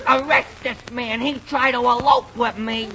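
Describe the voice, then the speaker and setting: A man's voice: extremely high pitch, steady tone, normal speed. An actor playing an indignant, whiny, silly male sidekick in 1940s radio comedy, complaining and exclaiming. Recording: muffled 1940s radio recording, faint noise.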